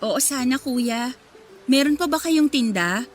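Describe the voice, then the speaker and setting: A woman playing a sweet nineteen-year-old nursing student in a Filipino radio drama, with a gentle, friendly way of talking. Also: high voice, expressive, a little slow, faint music behind. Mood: tired, hopeful.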